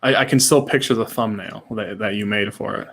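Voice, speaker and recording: A man's voice: fairly low pitch, fairly quick, fairly bright tone. A man in his thirties co-hosting a casual podcast, talking in a laid-back, slangy way with short repeated reactions, sometimes reading out comments. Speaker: podcast co-host.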